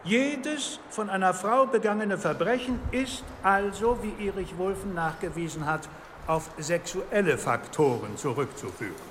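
High-voiced, pedantic professor. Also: male voice, lively intonation. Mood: pedantic, stern. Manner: pedantic, measured lecturing. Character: stern pedantic male criminology professor. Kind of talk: radio drama lecture. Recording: reverberant lecture hall, faint noise.

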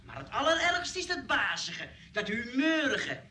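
A man's voice: very high voice, wildly swooping pitch, a little slow, pushed and bright, exaggerated voice. A man acting in a comic radio play as an eager, plaintive lodger, speaking emphatically and conspiratorially. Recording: roomy, distant, slightly noisy radio play.

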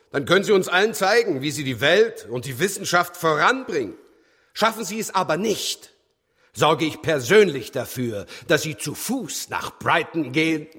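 A haughty, mocking gentleman of a learned English academy in a German radio play. His voice is high and swoops theatrically with condescension, ringing in a long hall reverb. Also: heavily acted. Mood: haughty challenge. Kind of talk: German radio drama acting.

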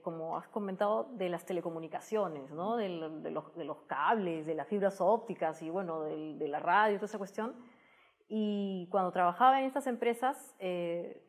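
A woman with a Peruvian Spanish accent giving explanatory, conversational interview answers, often ending phrases with a tag question. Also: on the low side. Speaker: interview guest, science communicator.

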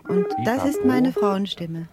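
Animated woman interpreter over loud music. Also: high voice, slow. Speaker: interpreter and co-presenter. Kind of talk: interpreting in an audio collage. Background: loud music and telephone tones.

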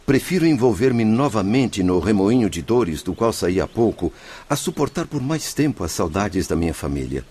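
A man's voice: fairly low pitch, sing-song, moderate pace, hardly any pauses, darkish tone, breathy edge. An actor in a radio drama playing an anguished spirit who formally and emotionally implores, longing for his family. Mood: pleading. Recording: music behind the voice.

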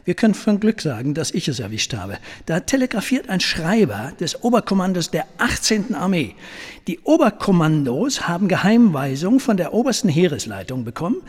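Conspiratorial political aide: breathy, husky, lively high-ish delivery, radio drama with long reverb. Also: male voice, a little fast, exaggerated voice. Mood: confidential, relieved. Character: conspiratorial government aide reporting to his chief. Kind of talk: German radio play acting. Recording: reverberant room.

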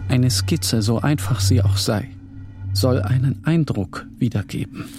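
Tormented obsessive guy thinking aloud man acting, slow and hushed intense drama, music faintly under it. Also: medium pitch, close to an everyday voice. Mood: obsessed, questioning. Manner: intense, musing, often hushed and whispered. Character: tormented male inner voice of an obsessed painter. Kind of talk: dramatic literary monologue.

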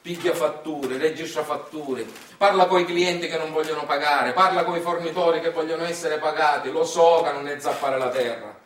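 A man's voice: fairly high pitch, fairly even intonation, normal speed, slight background noise, close to an everyday voice. In a roomy, somewhat distant stage recording, a man plays a weary country father with a Sicilian accent. He tells his tale patiently, in folksy, repeating rhythms. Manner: folksy, repetitive, rhythmic telling. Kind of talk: theatrical storytelling monologue. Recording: roomy echo, distant microphone.

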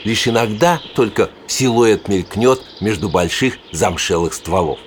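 A kindly male nature guide speaks in a lowish, very expressive voice. He is patient and instructive, as in a children's audio play, with birdsong clearly heard behind him. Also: a little slow, a little hiss or hum. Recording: voice over clear birdsong ambience. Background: forest birdsong, cooing.

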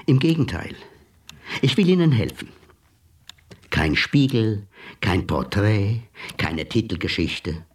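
A man's voice: lowish voice, very animated, far from the mic, full character voice. A man playing a sardonic blackmailer. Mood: mocking. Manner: smooth, sardonic, unhurried. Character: cool, mocking male blackmailer, middle-aged. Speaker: blackmailing hacker visitor. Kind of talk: radio play acting. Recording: voice set back from mic, music behind.

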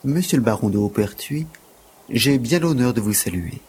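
A man's voice: mid-range voice, lively intonation, normal speed, a little mellow, slightly rough. A man playing a prim, aloof, penniless gymnastics teacher in an audio drama, speaking with dry, formal precision. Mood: resigned, formal. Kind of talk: French audio drama acting.

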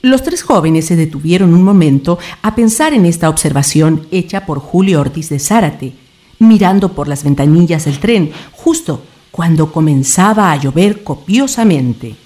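A woman's voice: lowish voice, animated, moderate pace, mellow. A Chilean woman reading scripted narration for a radio drama. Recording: clear background noise.

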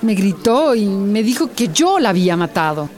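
An actress playing a troubled, defensive woman doctor in a radio drama, with a halting way of talking. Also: medium pitch, very animated, a little mellow, natural voice. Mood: upset, regretful. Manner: halting, hesitant, repeats words.

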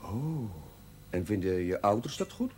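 Brief: calm Dutch priest, measured and gently stern, lively pitch. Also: male voice, slight background noise. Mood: patient. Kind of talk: 1970s TV drama acting.

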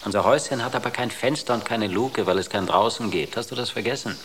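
An actor playing a patient comrade in a radio drama. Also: male voice, fairly low pitch, fast talker, fairly bright tone, a little hiss or hum, natural voice. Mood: soothing.

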